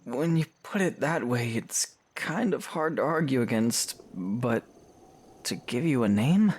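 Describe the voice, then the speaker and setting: An actor playing a reserved, awkward young male hero in a fan audio drama, speaking in a halting, sincere way. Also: mid-range voice, animated, husky. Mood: reluctant, defensive. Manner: hesitant, soft-edged, halting.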